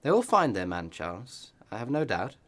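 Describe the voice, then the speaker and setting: An actor playing a pious parish clergyman in a radio play, offering consolation in measured, sermonising phrases. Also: mid-range voice, huge pitch swings, fairly bright tone. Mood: solemn consolation.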